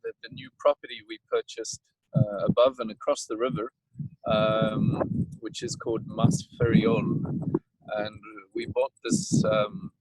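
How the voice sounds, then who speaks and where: A man with a South African accent, a winemaker talking casually and haltingly with many fillers while standing outdoors in a vineyard, tired and coughing now and then. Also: on the low side, steady tone, darkish tone, slight background noise, rough recording.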